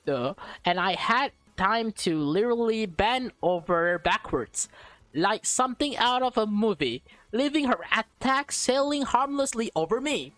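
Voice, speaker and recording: A woman let's player reads a visual novel aloud at an unhurried pace, tripping on words and repeating them. She drops casual, slangy asides between passages. The sound is close and dry, with faint music playing behind her. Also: on the high side, no echo. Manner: stumbles over words while reading, repeats phrases; slangy, excitable reactions.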